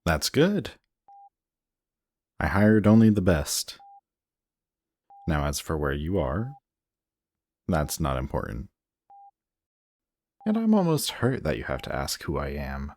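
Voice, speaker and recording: A man's voice: very deep voice, wildly swooping pitch, slow, pauses often, a little mellow, heavily acted. A man playing a smug, guarded supervillain in an audio roleplay, teasing and commanding, grudgingly protective of the person he is addressing. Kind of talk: scripted roleplay audio drama addressed to the listener.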